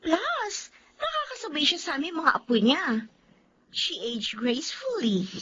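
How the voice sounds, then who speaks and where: A woman's voice: very high voice, unhurried, slight background noise, full character voice. A woman playing a supportive, outspoken young Filipina classmate in a drama, speaking expressively. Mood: sympathetic, advising. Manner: expressive, mixes English into Tagalog. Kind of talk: dramatized dialogue acting. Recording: dull lo-fi audio.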